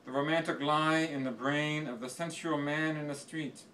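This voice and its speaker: A man reciting poetry in a measured, declamatory way with deliberate phrasing. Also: on the high side, fairly even intonation, pushed and bright. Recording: distant mic with faint background noise.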